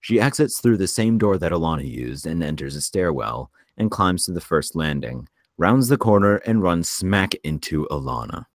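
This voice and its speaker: A man reading screenplay stage directions as narrator, in a measured, descriptive way. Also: low-pitched, expressive, dry recording, studio quality.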